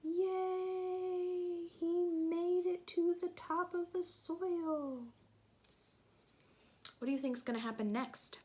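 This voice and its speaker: A woman reading a picture book aloud to young children, gently asking them questions and sometimes dropping to a soft whisper. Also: extremely high pitch, fairly even intonation, takes it slowly.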